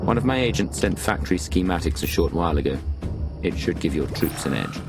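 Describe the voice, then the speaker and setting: A composed imperial agent from a video game. The voice sits extremely low, with gravel in it. He speaks in a measured, businesslike way over prominent music. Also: expressive. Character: composed male imperial agent, outsider field commander. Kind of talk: dramatic video game dialogue.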